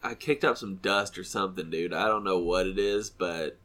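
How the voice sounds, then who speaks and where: A man's voice: sits low, moderate pace, a bit bright. A man in his thirties with a stuffy, nasal voice, co-hosting a casual chat podcast in a rambling, self-correcting way. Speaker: podcast co-host.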